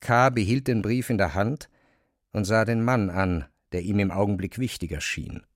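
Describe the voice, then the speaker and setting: Low-voiced male literary narrator, close and dry. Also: normal speed, no room sound.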